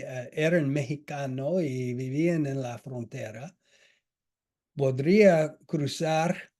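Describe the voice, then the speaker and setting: Slow, halting lecturer with a mellow voice. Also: male voice, medium pitch. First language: not Spanish. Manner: halting, many restarts and fillers. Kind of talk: academic lecture. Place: lecture hall.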